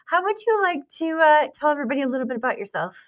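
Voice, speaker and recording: A woman's voice: high-pitched, big pitch swings, racing, a bit bright. A woman from Buffalo hosting a podcast, chatting casually and laughing easily with her guest. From Buffalo, USA. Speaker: podcast host. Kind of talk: casual interview chat.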